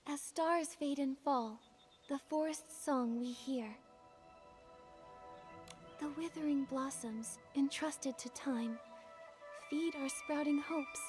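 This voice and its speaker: Gentle young game heroine, high sweet pitch, unhurried, earnest, thoughtful, music underneath. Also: female voice. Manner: soft, gentle, sincere. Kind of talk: voiced video game cutscene dialogue.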